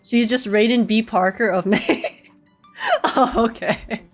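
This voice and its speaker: A giggly, excitable woman giving casual reaction commentary as she plays a game. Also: medium pitch, takes it slowly, soft background music.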